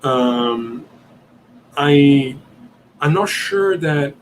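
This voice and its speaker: A man from Italy whose first language is Italian, hosting a livestream Q&A and reasoning aloud, often pausing and searching for words. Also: fairly high pitch, lively intonation, slight background noise, rough recording. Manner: hesitant, searching for words, many ums and restarts. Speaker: podcast host answering questions.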